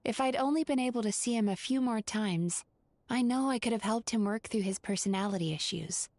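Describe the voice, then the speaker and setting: A woman playing a composed young dream therapist in a video game, measured, clinical and articulate. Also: fairly high pitch, darkish tone, dry recording, close to an everyday voice. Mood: composed, pensive.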